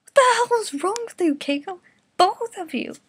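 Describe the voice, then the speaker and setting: A woman voicing a hot-tempered teenage boy who shouts in exasperation in a fan story reading. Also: very high voice, animated, normal speed, slight background noise, voice pushed up. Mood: outraged.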